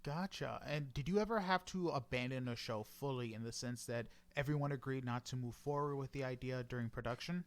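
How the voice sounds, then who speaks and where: A man's voice: medium pitch, a little fast, few pauses. A man interviewing a guest in a casual, conversational style. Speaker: interviewer, podcast host.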